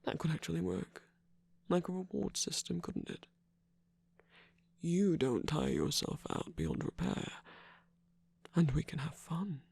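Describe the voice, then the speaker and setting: Casting: tender, teasing villain sweetheart for romantic ASMR performer of unclear sex, very husky half-whisper with a creaky edge. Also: mid-range voice, heavily acted. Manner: tender, soft-spoken, teasing. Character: affectionate villain lover, battle-scarred, gently scolding and reassuring. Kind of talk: intimate ASMR romantic roleplay.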